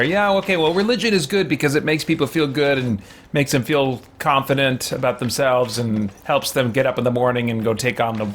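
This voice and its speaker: A man's voice: medium pitch, fairly bright tone, slight background noise. A man hosting a gaming series, giving reflective, conversational commentary as he thinks aloud. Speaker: host of a roleplay gaming series.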